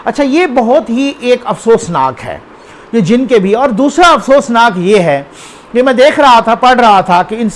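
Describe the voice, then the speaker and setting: Male news commentator, Pakistani accent, high voice, conversational and unscripted, quizzes the viewer. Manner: conversational, addresses the viewer with rhetorical questions and check-ins.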